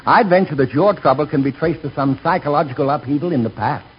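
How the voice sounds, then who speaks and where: A man's voice: a little high, fast, slightly rough. A man playing a polite, earnest, wordy bank teller in 1940s radio comedy. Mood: solicitous. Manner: formal, wordy. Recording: muffled, hissy 1940s radio recording.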